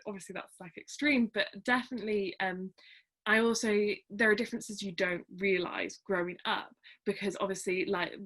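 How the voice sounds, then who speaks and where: A woman's voice: medium pitch, fairly bright tone. Young woman, hesitant, searching for words, casual literary discussion, mid-range voice. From South Wales, on the border.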